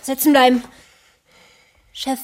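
A stubborn, naive young postwoman in a radio drama. Her voice is high and she speaks plainly, with earnest insistence. Faint noise sits behind her. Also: little pitch movement, slow. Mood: commanding.

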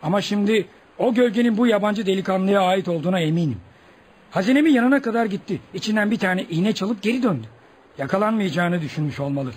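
A man's voice: high-pitched, fast, lightly acted. An actor playing a suspicious rich tribesman, possessive of his treasure and accusing, in a Turkish radio play. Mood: accusing, certain. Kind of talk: Turkish radio theatre acting. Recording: background noise and ambience.

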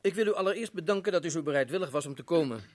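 A stiff prison colonel who lives by the regulations, played by a man in a radio play. He talks formally and a touch higher than most men, measured and correct. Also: close to an everyday voice. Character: strict rule-bound male prison colonel. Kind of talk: radio drama acting.

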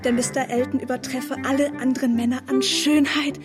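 A young woman acting a naive, adoring gentlewoman in a period radio play, with a breathless, gossipy, effusive manner. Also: sits high, level tone, a little fast, over music, lightly acted. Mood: excited gossip.

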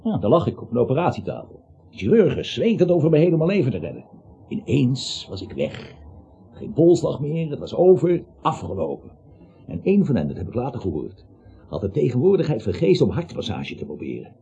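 An actor in a Dutch radio play, playing a garrulous, emphatic man with a gravelly, husky voice who swells on key words. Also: medium pitch, wildly swooping pitch, fairly quick, warm tone, slight background noise. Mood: excited, confiding. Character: garrulous, emphatic man who was once clinically dead. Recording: dull, muffled radio play recording.

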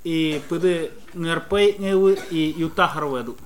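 A man interpreting a sermon phrase by phrase, quick and hesitant, with fillers. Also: fairly high pitch, a little hiss or hum.

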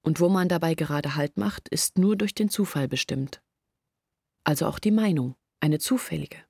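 An actress playing an anxious young village woman who earnestly pleads her family's case in a radio play, intimate and deliberate. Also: deep voice, creaky, darkish tone, slightly rough, dry recording, clean studio sound, full character voice. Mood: pleading. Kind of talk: literary radio play monologue.

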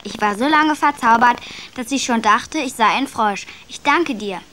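A woman's voice: on the high side, normal speed, bright, forceful tone. A female performer playing a cheeky, matter-of-fact young grandchild in a radio play. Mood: cheeky, confident.

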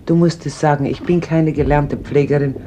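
A woman's voice: low voice, fairly even intonation, normal speed, dark tone, natural voice. An actress playing a blunt but caring mother of a fishing family in a German radio play. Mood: brusque care. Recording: muffled old radio recording with noise.

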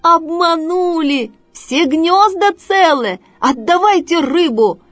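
A woman voicing a gullible, excitable mother crow from a storybook, crying out in alarmed caws. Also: extremely high pitch, slow, dry recording, soft background music, voice pushed up, exaggerated voice. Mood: outraged. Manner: cawing, alarmed cries.

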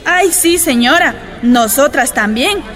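A woman's voice: sits high, expressive, slow, fairly bright tone, a faint crowd, heavily acted. An actress playing a bold, cheeky miner's wife who stands her ground, in a radio drama. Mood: feigned politeness, cheeky. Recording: long reverb.

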